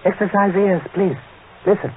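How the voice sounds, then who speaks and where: Through a thin, hissy vintage radio recording comes a man acting as a calm Chinese-American detective. He is measured and ceremoniously polite, dropping small words as he talks. The delivery is that of 1940s radio drama. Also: high-pitched, lightly acted. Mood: shrewd, calm. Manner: measured, formal, clipped phrasing that drops pronouns and articles. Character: calm, courteous male Chinese-American police detective, fond of proverbs. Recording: noisy, narrow-band vintage radio recording.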